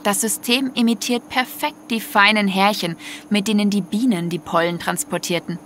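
A woman's voice: medium pitch, steady tone, normal speed, fairly bright tone, a little hiss or hum. A woman narrating a scripted audio story in prose. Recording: music under the voice.

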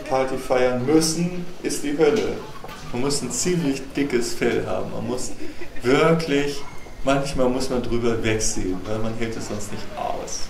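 Man talking in an echoey space. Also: medium pitch. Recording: echoey space, faint ambient noise.

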